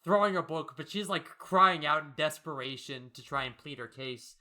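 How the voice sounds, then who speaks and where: A man's voice: on the high side, normal speed, sharp tone. A man co-hosting a casual comic discussion, riffing playfully as he goes. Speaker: co-host. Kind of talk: casual comic commentary chat.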